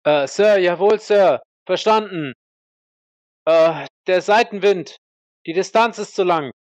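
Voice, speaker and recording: A man's voice: high voice, takes it slowly, dry recording. A man playing a nervous, obedient young soldier in an audio drama, giving hesitant, deferential replies. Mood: flustered, eager. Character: young male sniper trainee, nervous and obedient.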